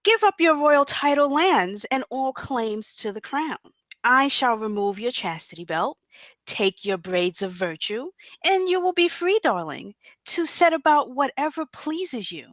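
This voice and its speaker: A woman's voice: sits high, sing-song, a little slow, a bit bright, no echo, heavily acted. A woman at a table read playing a gracious but smug fairy godmother, airy and condescending. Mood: smugly gracious. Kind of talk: table read of a comedy fantasy script.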